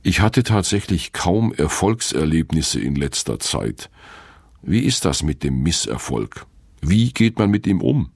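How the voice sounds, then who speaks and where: A man's voice: a bass voice, very animated, unhurried, a little mellow, breathy edge, no room sound. A middle-aged man, an author, reading a wry, reflective first-person radio essay from a studio. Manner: reflective, wry, self-questioning.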